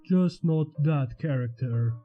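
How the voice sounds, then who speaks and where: A man playing a calm, protective skeleton in an acted fan fiction reading, low-key and reassuring. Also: slow pace. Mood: calm, approving. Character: calm, protective, quietly serious male skeleton. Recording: dull lo-fi sound, faint music.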